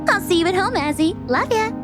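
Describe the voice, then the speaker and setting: Very high girlish reaper. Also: expressive, fairly quick, music in the background. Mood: affectionate. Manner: childlike, whimpering and giggling speech. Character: giddy girlish winged reaper, bloodthirsty and clingy. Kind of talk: audio drama acting.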